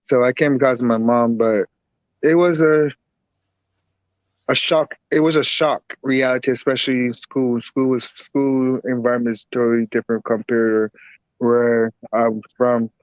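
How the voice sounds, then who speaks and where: A man from Barbados, a guest herbalist and boxer, talking in a relaxed, rambling way with lots of repeats. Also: medium pitch, normal speed, no echo, muffled recording. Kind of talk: casual interview talk.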